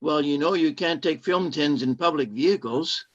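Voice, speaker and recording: Man playing a scheming radio-drama husband, insistent, husky, dull lo-fi sound. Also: on the high side, normal speed, fairly bright tone. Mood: insistent, urging. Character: controlling, secretive saboteur husband posing as kindly cinema owner. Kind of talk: British-set radio play acting. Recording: dull lo-fi streamed audio.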